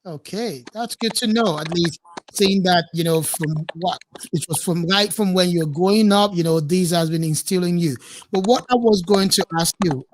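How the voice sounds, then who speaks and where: A man's voice: sits high, moderate pace, a little hiss or hum, low-quality recording. A male radio host interviewing a guest remotely, encouraging with short affirmations.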